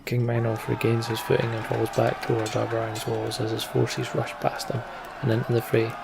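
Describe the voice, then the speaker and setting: A man's voice: mid-range voice, completely flat delivery, normal speed, gravelly, heavy background noise. A man giving casual, muttered gameplay commentary and reading story text aloud, as a let's play host.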